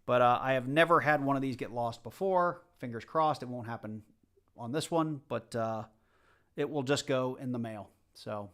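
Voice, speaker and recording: A man's voice: medium pitch, normal speed, fairly bright tone. A man with a light Southern US accent, casually chatting to his livestream viewers while hunting coins. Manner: chatty, rambling, talks to the chat. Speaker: livestream host.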